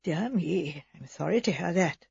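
A woman voicing an elderly lady who speaks in a measured, dry way. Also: fairly low pitch, expressive, gravelly, a little mellow, husky, dry recording, muffled recording, voice pushed down, heavily acted.

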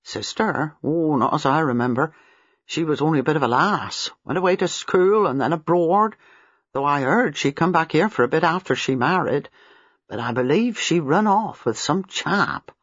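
Old country gardener type, gossipy and dialecty, narrator putting it on, husky, dull lo-fi sound. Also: medium pitch, moderate pace, few pauses, no room sound, pitched above the performer's own voice, close to an everyday voice. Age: older. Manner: rural dialect speech. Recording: dull lo-fi dry recording.

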